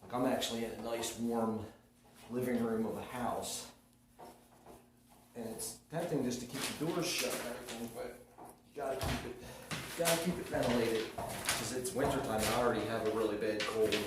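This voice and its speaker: Soft-spoken sniffly man in echoey room. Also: medium pitch, fairly even intonation, moderate pace. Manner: rambling, self-correcting, soft-spoken. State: slight sniffle from a cold. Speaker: DIY restoration vlogger. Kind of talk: casual how-to narration while working. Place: living room of a house, working on a frame. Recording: echoey room, far from mic, noisy.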